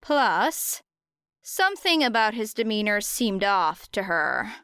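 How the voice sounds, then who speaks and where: A woman's voice: medium pitch, big pitch swings, slow pace, bright, forceful tone, clear, resonant tone, no room sound, clean studio sound. A woman reading scripted story narration as an audiobook narrator.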